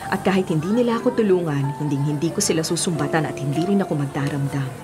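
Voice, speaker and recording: A woman's voice: low voice, quick pace, music in the background, natural voice. A woman acting a hardworking, self-sacrificing middle-aged mother in a radio drama, earnest and principled. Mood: earnest, resolute. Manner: earnest, principled, pleading when pressed.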